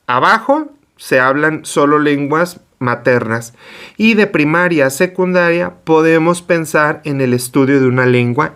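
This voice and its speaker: A man giving a lecture to teachers. He asks himself questions and then answers them, and he corrects himself as he goes. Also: on the high side. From Mexico. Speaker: teacher trainer. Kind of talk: explanatory online lecture.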